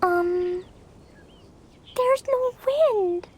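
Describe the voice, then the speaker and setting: A woman voicing an excitable, innocent little girl in a video game. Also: very high voice, very slow, exaggerated voice. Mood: disappointed, puzzled.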